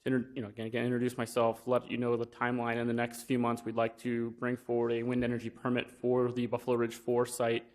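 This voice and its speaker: A man's voice: mid-range voice, monotone, fast, few pauses, a little mellow. A young man giving an informal presentation at a county meeting, talking plainly with many fillers and restarts. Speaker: wind energy business developer. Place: county commission meeting room.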